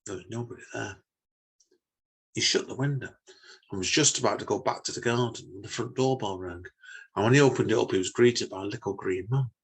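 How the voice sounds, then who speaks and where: Low, husky-voiced man on a podcast. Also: a little fast. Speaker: podcast host. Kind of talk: reading own short story, casual chat.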